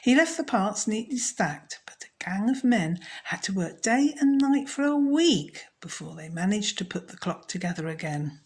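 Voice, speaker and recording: A woman storyteller narrating a children's story in a measured, scripted read. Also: mid-range voice, very animated.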